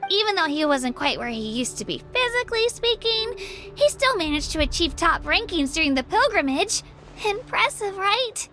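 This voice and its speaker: A woman's voice: very high-pitched, very animated, moderate pace, bright, forceful tone, faint music behind, heavily acted. A woman voicing an eager, modest young girl, an apprentice warrior in a video game, earnest and girlish.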